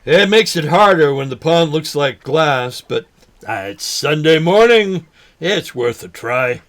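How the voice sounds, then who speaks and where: A man playing a forgetful, folksy older man who talks haltingly, in a naturalistic drama. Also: a little high, lively intonation, a bit bright. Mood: wistful. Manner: halting, folksy, mild exclamations. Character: forgetful old man, folksy, nervous, teasing lifelong fisherman. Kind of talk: naturalistic short film drama acting.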